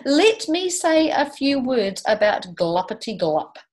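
A woman voicing a grumpy small male forest creature who scolds in a slow, loud, croaky way. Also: high-pitched, big pitch swings, voice pushed up, heavily acted. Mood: scolding.

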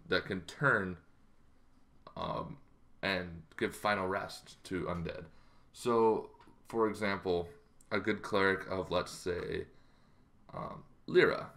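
A man in his thirties talking casually to camera in an explanatory way, halting and improvised, in a small bedroom. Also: sits low, fairly bright tone. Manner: halting, loosely improvised delivery. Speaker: tabletop roleplaying game vlogger.

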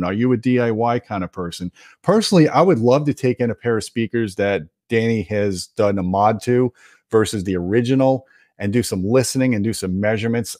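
A man's voice: medium pitch, a little mellow, no echo, clean studio sound. A man hosting an audio gear video, explaining things to viewers in an emphatic, opinionated, conversational way. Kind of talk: explanatory product commentary to viewers.

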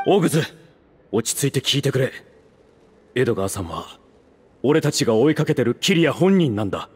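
Man as a firm mercenary, very expressive pitch. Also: a little fast, a little hiss or hum, close to an everyday voice. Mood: grave. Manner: firm, direct, informal. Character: steady, commanding adult male mercenary. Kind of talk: anime game dialogue. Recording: roomy reverb, faint music behind.

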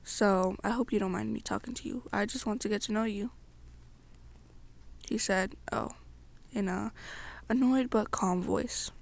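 A young woman telling an ASMR roleplay story in the second person, her breathy voice sometimes dropping toward a whisper. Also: mid-range voice, unhurried, pauses often.

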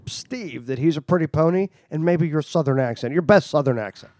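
A male podcast host with a slightly high, lively voice that swings around as he teases his guests. The sound is dry and close, with no room behind him. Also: fairly quick, dry recording. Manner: teasing, laughs often. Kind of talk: joking podcast chat.

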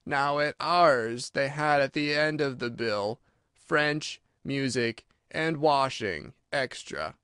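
A man voicing a melancholy old mock turtle in a storybook, sighing and self-pitying, with a slow, drawn-out, mournful delivery. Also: mid-range voice, bright, forceful tone, smooth, no echo, studio quality. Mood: wistful.

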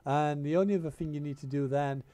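A man talking through a tech setup with a cold in his voice, his tone slightly high for a man yet dark and mellow. He keeps it chatty and plain, stringing together "you wanna" steps. Headset mic, recorded at home. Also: steady tone, fast, no room sound. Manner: repeats "you wanna" instructions, informal and chatty. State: has a cold and a tickly cough. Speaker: channel host giving a tech tutorial. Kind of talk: casual step-by-step screen-recording tutorial. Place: home room, indoors. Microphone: gaming headset mic.

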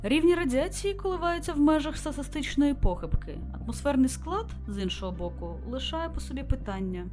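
Female starship captain read by a woman, formal and measured log entries for a visual novel, expressive pitch movement, faint music underneath. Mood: grave, reporting. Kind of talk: scripted narration read aloud from a visual novel.

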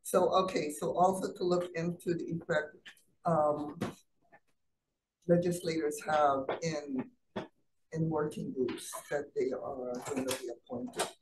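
A woman senator putting questions at a committee hearing, measured and hesitant with fillers, in a legislative hearing room. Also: sits low, steady tone. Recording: roomy, distant, noisy hearing room.

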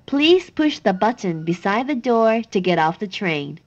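Very slow, measured woman announcing trains. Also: mid-range voice, big pitch swings, a little mellow, highs cut off. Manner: measured, formal, carefully articulated. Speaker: English-language train announcer. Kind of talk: scripted recorded announcement.

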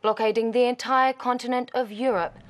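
A woman's voice: fairly high pitch, steady tone, brisk, natural voice. A woman in her thirties playing a serious television news anchor in a game cutscene, reading formally. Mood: grave.

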